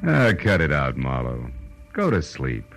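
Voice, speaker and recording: Deep world weary american detective guy, slangy, wry, old 40s radio show. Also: wildly swooping pitch, a little slow, loud music behind the voice, heavily acted. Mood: wry, confiding. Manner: clipped, slangy, sardonic. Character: wry, world-weary male private detective, hard-boiled. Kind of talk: 1940s radio drama acting, first-person detective narration.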